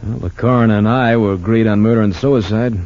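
An actor playing a steady, agreeable police lieutenant, laconic and matter-of-fact, in a 1940s-style radio drama. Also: male voice, fairly low pitch, quick pace, close to an everyday voice. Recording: muffled old radio recording.

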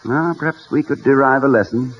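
An actor playing a genial, tactful college president who speaks politely and carefully in a vintage radio comedy. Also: male voice, mid-range voice, natural voice. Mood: tactful, earnest. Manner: polite, measured, hesitant, wordy. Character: genial, courtly college president, tactful and gently whimsical husband. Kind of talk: 1940s-50s radio comedy acting. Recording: muffled, hissy vintage radio recording.

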